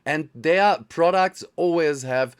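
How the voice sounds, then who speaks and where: A man's voice: a little high, slow pace, smooth. A man from Germany with a German accent, German native, hosting an interview in a friendly, hesitant way. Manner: friendly, hesitant, many fillers. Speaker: host. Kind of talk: casual interview.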